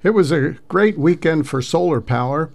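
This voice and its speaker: A man giving an informal vlog narration as a radio hobbyist, speaking in halting, hesitant phrases. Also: fairly high pitch, lively intonation, vocal fry, a little mellow. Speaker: amateur radio operator recounting a contest weekend.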